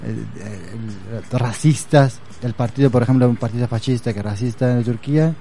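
A man's voice: mid-range voice, moderate pace, creaky, mellow, a little hiss or hum. A man speaking in a casual conversation, hesitant and searching for words, with many fillers. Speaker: guest.

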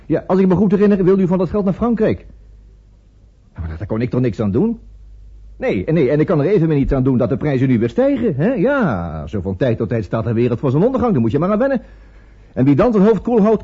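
An actor playing a cool, unyielding businessman in a Dutch radio play, formal, brisk and argumentative. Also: on the high side, huge pitch swings, husky, full character voice. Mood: smugly dismissive. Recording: muffled lo-fi old radio recording, hiss.

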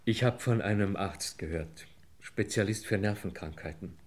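A man playing a desperate clerk in his sixties in a mid-century German radio crime drama, halting, pleading and apologetic. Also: fairly low pitch, natural voice. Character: desperate, pleading 62-year-old male clerk confessing embezzlement.

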